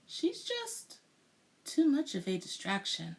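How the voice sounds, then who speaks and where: Woman voicing an apologetic, slow-spoken school principal. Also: high voice, huge pitch swings, gravelly, pitched above the performer's own voice, heavily acted. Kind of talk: children's storybook read-aloud.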